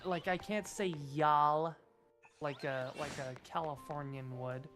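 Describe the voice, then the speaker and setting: A slow, deliberate American man with a slightly raised pitch, hosting a gaming stream in casual conversation. He enunciates each consonant with care and peppers his speech with "like", while music plays behind him. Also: a bit bright. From United States. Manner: deliberate enunciation, frequent "like" fillers. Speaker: streamer host.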